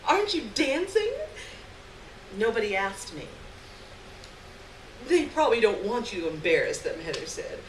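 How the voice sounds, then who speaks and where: A woman giving an expressive dramatic reading of a literary short story live on stage, acting out the dialogue. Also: mid-range voice, normal speed, long pauses. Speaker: story reader. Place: live stage event, recorded from a distance. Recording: roomy distant live recording, clear background noise.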